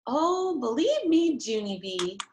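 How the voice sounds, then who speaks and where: A woman's voice: high-pitched, very animated, takes it slowly, a little mellow, dull audio, a little hiss or hum, exaggerated voice. A woman voicing a young girl's mother in a storybook, affectionate and lightly exasperated, teasing and reassuring.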